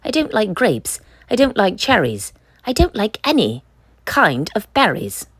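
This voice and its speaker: A woman's voice: mid-range voice, huge pitch swings, a little slow, breathy edge, no room sound, exaggerated voice. A woman voicing a fussy, stubborn little girl who complains emphatically in a children's picture book. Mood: disgusted, defiant. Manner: emphatic, complaining, rhyming protests.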